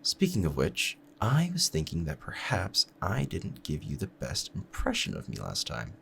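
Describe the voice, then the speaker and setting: A man acts out a smitten, dangerous male assassin in a romantic audio roleplay. The voice is low and creaky, measured and formal, confiding in the listener with calm pride that is both menacing and tender. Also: a little hiss or hum, exaggerated voice. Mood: tender, regretful.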